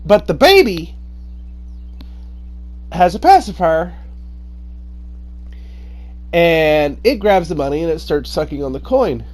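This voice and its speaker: A man's voice: fairly high pitch, sing-song, takes it slowly, long pauses, a little mellow. A man telling a story informally as host, dramatic in his delivery and acting out the dialogue.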